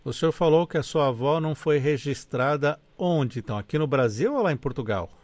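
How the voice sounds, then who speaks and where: A man's voice: fairly high pitch, expressive, fairly quick, a little mellow, no echo. A man hosting an interview, asking polite, formal questions.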